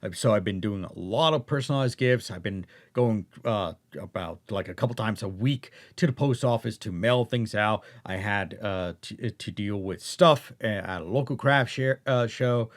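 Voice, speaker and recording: A man giving a rambling, casual update to viewers, halting and often rephrasing himself as host and narrator. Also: on the low side. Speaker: audiobook narrator and channel host.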